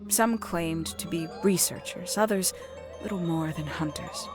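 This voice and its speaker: A woman voicing a composed, calculating young woman in a video game, speaking in a measured, formal, theatrical way as she confesses. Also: on the low side, over music, lightly acted. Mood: wistful, bitter. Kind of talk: dramatic scripted confession monologue.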